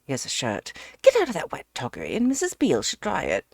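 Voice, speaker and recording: A woman reading aloud, voicing a hearty, bossy, bustling middle-aged Englishman who talks loud and brisk. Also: low voice, huge pitch swings, creaky, no echo, studio quality, exaggerated voice.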